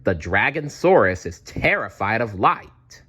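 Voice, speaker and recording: A man reads as an enormous, kindly male dinosaur in a storybook. The voice is deep and very unhurried, polite and thoughtful. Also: big pitch swings, sharp tone, slightly rough, full character voice. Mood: thoughtful caution. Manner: polite, measured. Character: huge, gentle, thoughtful male long-necked dinosaur.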